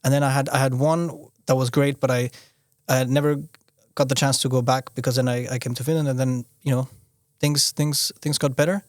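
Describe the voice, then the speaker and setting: A young man who grew up in Austria chats as a guest on a podcast, his speech halting and full of restarts. His voice sits at a middle pitch for a man and is recorded close and dry, with no room sound. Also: little pitch movement, no room sound. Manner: halting, restarts often, leans on "you know". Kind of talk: casual conversational interview.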